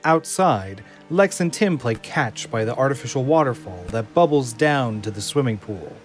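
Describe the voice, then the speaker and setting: A man's voice: mid-range voice, animated, normal speed, soft background music. A man reading scripted narration as the narrator of an audio drama.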